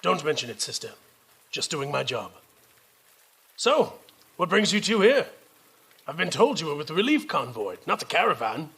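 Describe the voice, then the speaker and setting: A man playing a dutiful young army captain in an audio drama, earnest and measured. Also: a little high, sing-song, a bit bright, husky, full character voice. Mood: calm, gentle.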